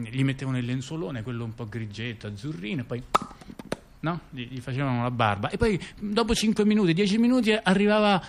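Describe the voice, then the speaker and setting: Man, narrative theatre monologue, conversational, halting repetitions, frequent tag questions, medium pitch. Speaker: storyteller in a two-person narrative theatre dialogue.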